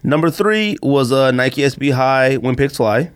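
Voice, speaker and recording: A man with an everyday mid-pitched voice talks like a podcast host among friends. He teases and states his opinions freely, keeping the delivery casual and conversational. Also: lively intonation, a little slow, smooth. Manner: teasing, opinionated. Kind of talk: casual sneaker podcast banter.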